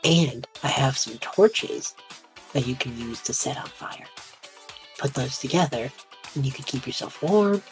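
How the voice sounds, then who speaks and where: A woman's voice: extremely low pitch, lively intonation, unhurried, faint music behind. A woman voicing a harried male adventurer in a tabletop game, bargaining in a wry, hesitant way. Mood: coaxing. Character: Treff, harried male adventurer, persuasive bluffer.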